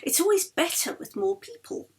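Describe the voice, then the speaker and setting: A woman voicing a coaxing, gently scolding society wife of the 1930s in a storybook, with a wheedling hostess manner. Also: very high-pitched, moderate pace, voice pushed up. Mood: inviting.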